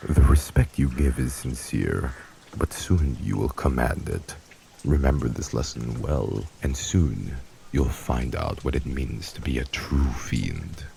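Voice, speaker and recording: A man playing a heartless, commanding vampire mafia boss in an ASMR audio drama, gravelly and speaking in a formal, grave, measured manner. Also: very deep voice, warm tone, slight background noise, full character voice. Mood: stern, commanding.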